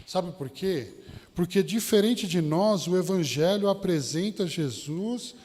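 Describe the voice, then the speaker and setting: A man's voice: high voice, expressive, measured pace, a little mellow. A man with a São Paulo Brazilian accent preaching a sermon as a Baptist pastor, addressing his listeners directly with rhetorical questions and anecdotes. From São Paulo state, Brazil. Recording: long hall reverb.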